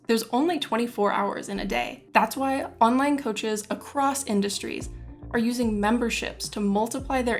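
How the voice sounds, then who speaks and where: A woman hosting an interview, reading a polished intro and then asking clear, prepared questions. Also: a little high. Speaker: interview host.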